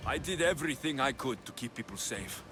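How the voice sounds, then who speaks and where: An actor playing a fierce, loyal Greek mercenary hero who is grieving and set on vengeance, in a cinematic video game. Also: male voice, mid-range voice, lively intonation, fairly quick, noisy background, natural voice. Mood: remorseful, grim.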